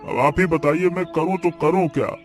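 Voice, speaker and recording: A man's voice: a little high, fairly even intonation, moderate pace, natural voice. An actor playing a humble, earnest working man in a cartoon story, speaking politely in a coaxing, pleading way. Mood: worried, deferential. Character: earnest humble male vegetable seller and eatery cook, pleading. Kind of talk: Hindi cartoon story voice acting. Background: background music.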